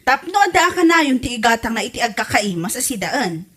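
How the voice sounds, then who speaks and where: Woman acting a grumbling female villager, comedy radio drama, high voice, very animated, exasperated, Ilocano accent. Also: a little fast, slightly rough, exaggerated voice.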